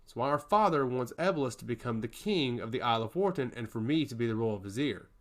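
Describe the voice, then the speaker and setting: A man's voice: mid-range voice, animated, fast, natural voice. A man playing a composed young princess in a fantasy audiobook, earnest and reflective. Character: thoughtful, composed young princess, calculating and sincere.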